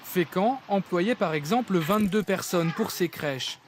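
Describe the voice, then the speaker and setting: A man's voice: high voice, lively intonation, measured pace, a little hiss or hum. A man narrating a scripted television news report as its reporter.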